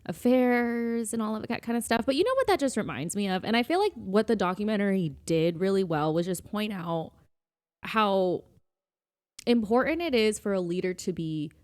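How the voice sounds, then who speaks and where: A woman's voice: mid-range voice, expressive, normal speed. Chatty woman co-hosting a casual podcast. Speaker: podcast co-host. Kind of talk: casual conversational podcast chat. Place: indoors, relaxed seated setup.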